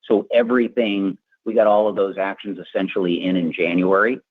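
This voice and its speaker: Exec guy, really deep voice, dry tinny phone line, explaining stuff on an earnings call. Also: flat delivery, brisk, husky, no room sound. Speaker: company finance executive answering analysts.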